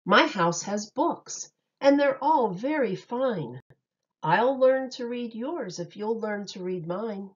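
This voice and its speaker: Woman reading to children, slow and very expressive. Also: on the high side, lo-fi sound. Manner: sing-song, expressive, clearly phrased for young children. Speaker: teacher reading a picture book aloud. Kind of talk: read-aloud of a rhyming children's story.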